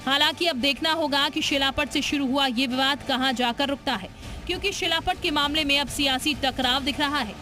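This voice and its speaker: A woman's voice: high-pitched, brisk, sharp tone, soft background music. A woman presenting scripted television news narration with a dramatic, emphatic delivery.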